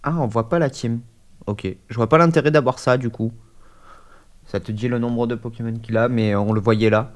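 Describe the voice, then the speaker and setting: A man's voice: medium pitch, fairly even intonation, normal speed. A man with a head cold, chatting casually through a gaming session at his desk mic, hesitant and full of fillers. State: stuffy, has a cold. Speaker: gaming YouTuber. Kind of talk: casual let's-play commentary.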